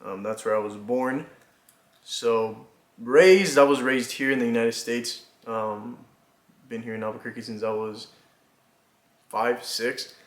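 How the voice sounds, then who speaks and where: A man in his twenties from Mexico, chatting casually to camera about himself, relaxed and rambling with lots of fillers and little laughs. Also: mid-range voice, measured pace, long pauses. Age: 20s. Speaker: vlogger. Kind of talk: casual get-to-know-me chat. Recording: echoey room.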